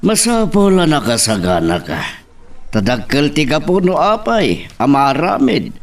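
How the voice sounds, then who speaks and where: A man's voice: fairly high pitch, very animated, measured pace, far from the mic. A man with a Filipino Ilocano accent acting a concerned older father who admonishes and advises in a radio-style romance drama. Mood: firm, admonishing.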